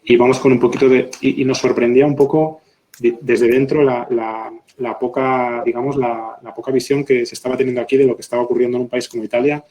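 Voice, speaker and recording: A man, a pharmaceutical executive on a webinar panel, explaining in a deliberate way, often ending points with tag questions. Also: medium pitch, level tone, warm tone, rough recording.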